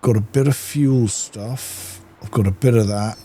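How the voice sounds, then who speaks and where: A man's voice: medium pitch, measured pace, dark tone, slight background noise. A man giving casual gameplay commentary, musing and thinking aloud to himself. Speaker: gamer, let's play host.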